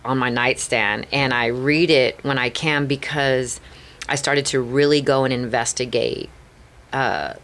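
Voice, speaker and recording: This is a Chicana woman in middle age, speaking with a Chicana accent as a guest on an interview show. Her voice carries a clear gravelly fry. She tells candid stories earnestly and leans on "like" and "right?" as she goes. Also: extremely low pitch, fairly even intonation, measured pace, a bit bright. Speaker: podcast guest.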